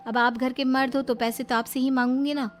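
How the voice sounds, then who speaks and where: A woman's voice: high-pitched, little pitch movement, fairly quick, faint music behind, pitched above the performer's own voice, close to an everyday voice. A woman voicing a young, devoted and shrewd daughter-in-law in a cartoon family story, pleading and coaxing sweetly.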